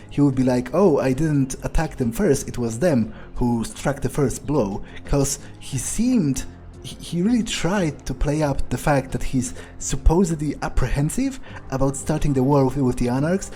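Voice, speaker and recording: A man's voice: mid-range voice, dark tone. A man voicing a cynical, suspicious vampire in an in-character game roleplay, musing hesitantly and swearing freely. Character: cynical, suspicious, foul-mouthed young male vampire, thinking aloud.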